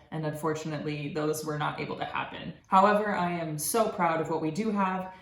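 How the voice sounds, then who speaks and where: A young man, a student presenter, giving a scripted narration of a presentation. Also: fairly high pitch. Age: young adult. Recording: echoey room, faint background noise.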